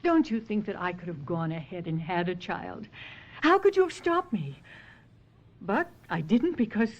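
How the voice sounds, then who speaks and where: An actress playing a long-suffering, tender wife in an old-time radio drama, earnest and emotional. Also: female voice, on the low side, sing-song, normal speed. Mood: bitter. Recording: muffled vintage radio drama recording.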